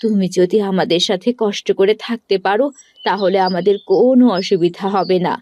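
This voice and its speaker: A woman's voice: mid-range voice, fairly quick, dark tone, highs cut off, a little hiss or hum, close to an everyday voice. A woman voicing a poor, kind and determined village mother in a cartoon fairy tale. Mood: kindly. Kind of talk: cartoon story acting.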